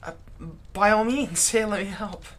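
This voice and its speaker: A man's voice: sits high, moderate pace, fairly bright tone, slight background noise. A man playing a servile, nervous vampire's thrall in a roleplay audio drama, stammering and deferential. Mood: eager, servile. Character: servile, nervous male vampire's thrall, bitterly eager to please.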